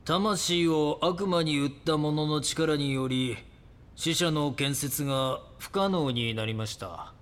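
An actor playing a calm, grandiose and menacing cult guru who preaches solemnly in an audio drama. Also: male voice, fairly high pitch, takes it slowly, clear, resonant tone. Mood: solemn, preaching. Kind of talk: Japanese audio drama acting.

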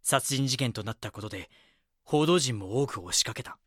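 Polite young reporter, very dry sound. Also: male voice, mid-range voice, expressive, normal speed, fairly bright tone, no echo. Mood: narrating. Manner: polite, inquisitive. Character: young male magazine reporter, polite, earnest, doggedly probing. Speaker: magazine reporter and first-person narrator. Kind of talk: Japanese audio drama dialogue and narration.

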